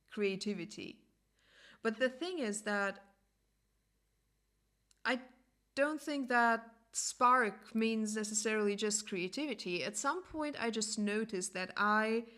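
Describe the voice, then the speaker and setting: Young woman host, German native speaker, measured unhurried delivery with clean, resonant tone, reflective and searching for words. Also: mid-range voice, fairly bright tone. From Latvia. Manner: thoughtful, pausing often and searching for words. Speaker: podcast host. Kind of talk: reflective personal monologue.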